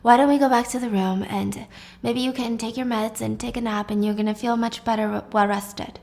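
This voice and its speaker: A woman storyteller puts on a manipulative husband who feigns concern. He speaks softly and wearily, placating with a condescending patience as if managing someone unreasonable. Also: fairly high pitch, steady tone, brisk, vocal fry. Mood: soothing. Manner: soft, placating, sighing, condescending.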